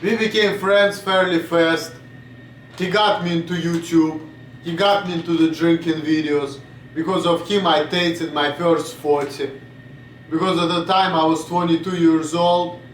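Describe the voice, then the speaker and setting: A man's voice: a little high, fairly even intonation, unhurried, roomy sound, recorded from a distance, a little hiss or hum, poor audio. A man in his late twenties from Russia, with a Russian accent, rambling bluntly in a casual vlog and swearing now and then. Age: 20s. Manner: blunt, swearing, drifts off topic. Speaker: vlogger.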